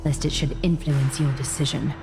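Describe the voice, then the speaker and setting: A poised noblewoman, low. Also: normal speed. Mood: cool. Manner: calm, cool and noncommittal. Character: poised, guarded noblewoman leader. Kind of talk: video game voice acting.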